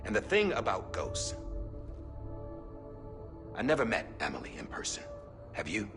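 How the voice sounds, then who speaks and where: A secretive masked director speaks in a hushed, confiding tone. His low voice dips and rises dramatically as he weighs his words. A film score plays behind him. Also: huge pitch swings, unhurried, over music. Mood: grave. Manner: hushed, confiding recorded message. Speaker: director of an organization. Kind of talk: recorded video message.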